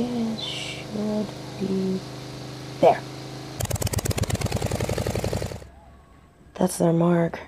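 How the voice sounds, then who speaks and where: Audio roleplay: young female biker played by an actress, loyal and mouthy, soft dark timbre, measured dramatic delivery. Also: mid-range voice, slight background noise, lightly acted. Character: defiant, foul-mouthed young biker girlfriend, fiercely loyal. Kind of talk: scripted audio roleplay acting.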